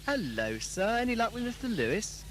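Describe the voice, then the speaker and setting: A man's voice: high voice, very animated, normal speed, noisy background. A young man playing a cheeky, sceptical teenage schoolboy in an audio drama, with a pert, dry manner. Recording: 1980s cassette, faint music behind.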